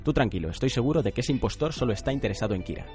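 Quick-talking, coolly calculating young man, acted by a man. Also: medium pitch, animated, over music, natural voice. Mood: reassuring. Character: cool, calculating student, politely controlling young man. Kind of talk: radio drama acting.

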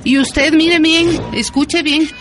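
Madre protectora ecuatoriana, con ruido de fondo. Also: female voice, high-pitched, fairly even intonation, takes it slowly. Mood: indignant. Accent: Ecuadorian. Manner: emphatic, insistent. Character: protective, indignant mother of a teenage girl. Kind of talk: radio soap opera acting. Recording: noisy background.

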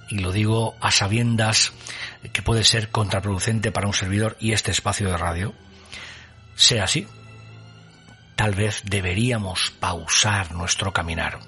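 A man hosting a radio show, reading a scripted reflection in a measured, deliberate way. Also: fairly low pitch, sharp tone. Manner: measured, deliberate pauses between words.

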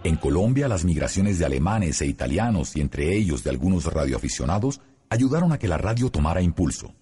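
A man's voice: low-pitched, sing-song, fairly quick, dark tone, slightly rough, faint music behind. A man reading scripted documentary narration.

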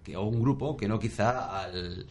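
A male radio host with a lowish voice leads a casual interview. He is chatty and a bit jokey, often restarting his sentences, with faint noise in the background. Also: a little slow, darkish tone.

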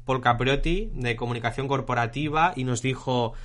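A Spanish man in his late twenties with a bright, clear tone. He talks in a relaxed, thoughtful way as co-host of a casual podcast and now and then restarts a word mid-sentence. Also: medium pitch, moderate pace. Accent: Spain. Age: 20s. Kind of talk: casual chat. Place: attic room at his parents' house.

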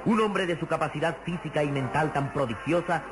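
An actor as a grave, suspenseful narrator of a vintage radio serial, florid and dramatic. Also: male voice, a little high, fairly quick, lightly acted. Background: dramatic music.

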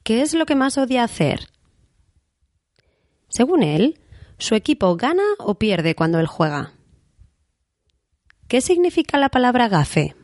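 A woman from Spain with a Madrid accent, hosting a podcast interview and speaking slowly and clearly for learners. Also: a little high, very animated, a little mellow, dry recording, clean studio sound. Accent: Madrid Spanish. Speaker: podcast host and Spanish teacher.

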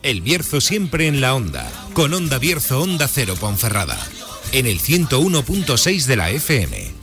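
Brief: man with an animated, swooping announcer read, loud music bed behind. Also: medium pitch, moderate pace. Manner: punchy promotional announcing. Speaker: station announcer. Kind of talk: radio station jingle.

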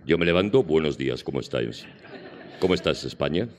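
A man's voice: very deep voice, expressive, a little fast, slight background noise. A man hosting a casual comedy panel chat on stage, with a playful, bantering manner. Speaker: show host. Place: live stage event space.

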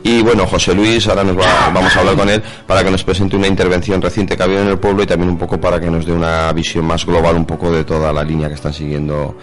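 A man's voice: deep voice, level tone, quick pace, few pauses. A man co-hosting an unscripted radio conversation, with hesitant, roundabout phrasing. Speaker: radio section co-host. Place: radio studio.

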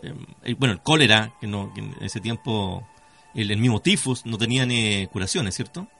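A man's voice: fairly low pitch, lively intonation, moderate pace, gravelly, pushed and bright, soft background music. A man with a Chilean accent hosting a conversational radio interview, speaking formally and courteously with some hesitation. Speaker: radio host.

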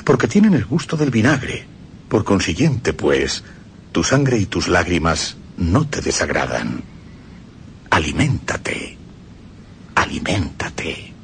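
A man's voice: deep voice, sing-song, takes it slowly, long pauses, a little hiss or hum. A man with a Spain Spanish accent reading literary passages aloud on radio in an intense, theatrical, insinuating way. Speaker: reader of quoted texts. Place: radio studio.

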